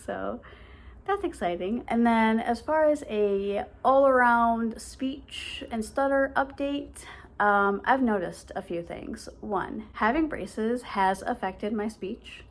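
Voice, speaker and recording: A woman's voice: a little high, takes it slowly. Chatty late-20s woman with a slight lisp. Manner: speaks with a stutter; chatty, filler-heavy, rambling. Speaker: vlogger. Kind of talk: casual chat to camera.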